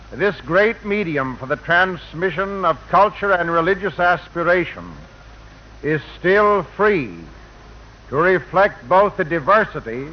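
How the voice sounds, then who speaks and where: A man, a rabbi, giving a prepared radio address in a measured, formal manner. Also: a little high. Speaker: rabbi, guest speaker. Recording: muffled, noisy 1940s radio recording.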